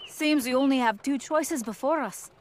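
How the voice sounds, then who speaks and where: A woman plays an earnest young adventurer in a fantasy audio drama. The voice sits fairly high and sounds thoughtful and sincere. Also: moderate pace, a little mellow, lightly acted. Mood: determined.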